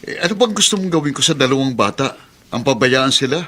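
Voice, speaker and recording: A man's voice: mid-range voice, expressive, fast talker, fairly bright tone. A man acting the part of a dutiful, protective working-class uncle in a radio drama, earnest and emphatic. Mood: firm, indignant.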